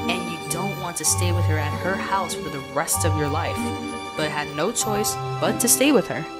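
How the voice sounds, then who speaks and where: A woman storyteller hosting and reading a scripted story recap addressed directly to the listener. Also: mid-range voice. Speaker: channel host and storyteller. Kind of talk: scripted second-person story recap narration. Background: loud music.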